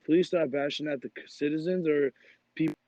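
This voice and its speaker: A man's voice: a little high, fairly even intonation, a little fast, warm tone, dry recording. A young man hosting a podcast and talking in a blunt, rambling, profane way. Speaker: podcast host. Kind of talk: casual podcast chat. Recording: dull dry lo-fi sound.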